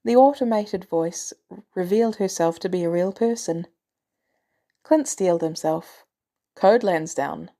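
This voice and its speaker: A woman's voice: fairly low pitch, a little slow, warm tone. A woman reading scripted fiction as an audiobook narrator. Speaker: fan fiction audiobook reader.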